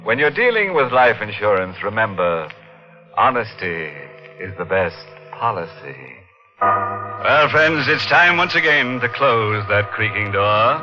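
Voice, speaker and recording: A man's voice: lowish voice, very animated, measured pace, pushed and bright, heavily acted. An actor playing a sardonic male host of horror tales who chuckles over grim puns, in a 1950s radio drama. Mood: macabre amusement. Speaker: show host. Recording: muffled old radio broadcast with music.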